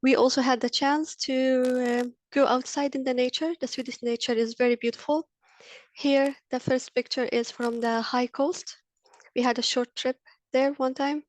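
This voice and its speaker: A woman's voice: high voice, steady tone, moderate pace. A woman in her thirties from Egypt, a university lecturer, giving an earnest webinar presentation with frequent ums and uhs. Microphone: video call.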